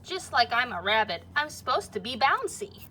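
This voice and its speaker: An encouraging young storybook rabbit read by a woman, with a plainly spoken, fairly high voice. There is noise in the recording. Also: very animated, moderate pace, bright, forceful tone.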